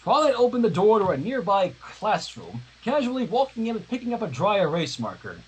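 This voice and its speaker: A co-host reading fan fiction aloud in an animated storytelling style. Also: medium pitch, fairly quick, slightly rough, low-quality recording.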